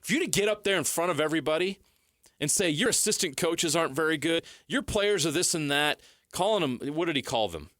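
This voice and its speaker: Male sports talk host, highly animated and emphatic, arguing his point in a dry, close studio sound. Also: a little fast, no room sound. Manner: emphatic, rhetorical questions, repeats phrases for stress. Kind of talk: heated sports commentary and debate.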